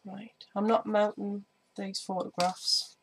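A woman with a slightly croaky voice, chatting casually through a scrapbooking tutorial with lots of ums while she works at a craft table. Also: mid-range voice, level tone, takes it slowly, a little hiss or hum. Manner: chatty, many ums, hands busy while talking. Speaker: scrapbooking vlogger. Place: indoor home craft table. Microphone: overhead camera on desk, speaker off screen.

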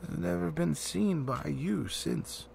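Man voicing a stern, emphatic old wizard, gravelly. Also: fairly high pitch, slow pace, a little mellow, pitched above the performer's own voice, heavily acted. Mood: testy, firm. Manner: emphatic, grim. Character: stern old male wizard, authoritative and testy. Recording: faint background noise.

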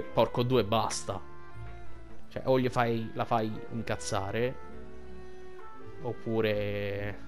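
An Italian man giving casual, rambling and crude commentary over a video game. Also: fairly low pitch. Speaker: gameplay streamer commentator. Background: game music.

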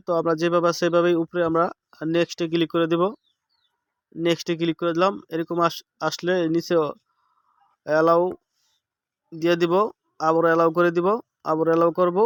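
A man's voice: a little high, fairly bright tone, clear, resonant tone, dry recording, studio quality. A man giving a casual step-by-step app tutorial, checking in with the listener as he instructs.

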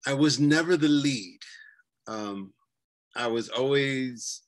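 A man's voice: mid-range voice, slow. A man in his forties with a New York accent, recalling his childhood in a rambling, casual way, full of fillers and restarts, speaking on a video call from a bedroom at home. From New York City. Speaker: guest. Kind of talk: casual interview chat. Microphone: webcam on a video call.

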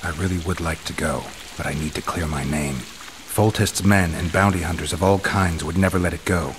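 Actor as deep gravelly stoic monster hunter. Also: male voice, normal speed, background noise, natural voice. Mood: resolute, guarded. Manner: terse, deadpan. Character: stoic, brooding male monster hunter, middle-aged. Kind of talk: video game acting.

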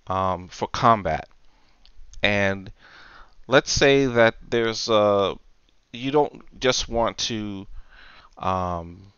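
A man presenting an unscripted software tutorial, explaining step by step in a halting way. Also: lowish voice, clean tone, no echo, dull audio. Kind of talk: unscripted screen-recorded tutorial.

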